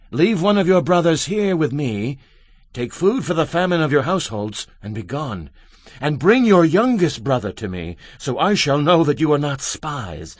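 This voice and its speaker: An actor playing an earnest, anxious grown Hebrew son who pleads urgently in a dramatized scripture production. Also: male voice, medium pitch, no room sound. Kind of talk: dramatized scripture audio acting.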